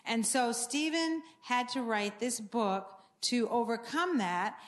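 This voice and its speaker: Woman from Maine, earnest church presenter, slightly high voice, halting delivery with fillers. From Maine, USA. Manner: earnest, with many fillers and restarts. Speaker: ministry speaker promoting books. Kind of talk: church announcement talk.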